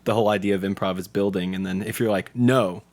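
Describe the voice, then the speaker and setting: A man's voice: lowish voice, steady tone, quick pace, a little mellow. A man in his early twenties from the United States, talking casually as an interview guest, joking and telling stories. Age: early 20s. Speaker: podcast guest, theater student and improv performer.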